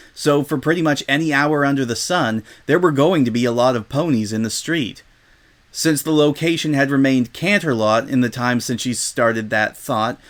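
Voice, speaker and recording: A man reading a scripted comic story aloud as its narrator, in dramatic storytelling style. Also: medium pitch.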